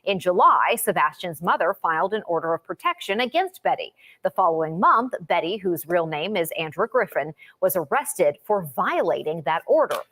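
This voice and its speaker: Lowish-voiced American woman news anchor. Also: very animated, moderate pace, a bit bright. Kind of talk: scripted news introduction.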